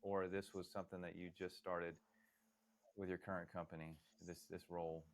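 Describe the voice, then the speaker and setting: A man's voice: low-pitched, flat delivery, normal speed. A man hosting a podcast, asking friendly questions with stumbling restarts. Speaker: podcast host. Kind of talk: casual interview questions.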